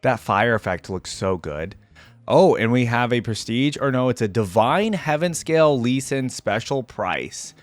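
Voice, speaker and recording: A man giving casual, slangy, enthusiastic gaming reaction commentary, thinking out loud as he goes. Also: medium pitch, animated, measured pace, no room sound. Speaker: reaction video host. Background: game music.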